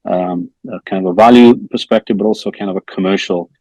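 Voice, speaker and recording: A soft-spoken man answers interview questions over a video call. His voice is low-pitched and quiet, heard in a dry, close sound. He talks in a measured way, padding his phrases with "kind of" and "um". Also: steady tone, dry recording, low-quality recording. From Newcastle, northeast England. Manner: measured, many fillers like "um" and "kind of". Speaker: interview guest, product manager.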